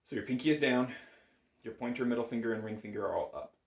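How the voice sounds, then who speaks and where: A man's voice: medium pitch, quick pace. A man giving casual, reassuring step-by-step instruction as a music teacher, recorded indoors in a small room. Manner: reassuring, informal, explains step by step. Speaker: bagpipe instructor. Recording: roomy, echoing small room.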